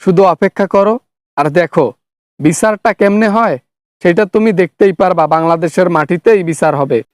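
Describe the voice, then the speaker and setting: Man, very high voice, Bangladeshi accent, sarcastic scolding, satirical skit host, dry recording. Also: moderate pace, smooth, no echo. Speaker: parody channel host, accuser in skit.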